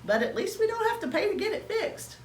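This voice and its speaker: A kindly, folksy storybook father, acted out by a woman reader, expressive and easily puzzled. The voice is high and swoops widely, heard in a roomy space. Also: brisk. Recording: roomy, distant mic, faint background noise.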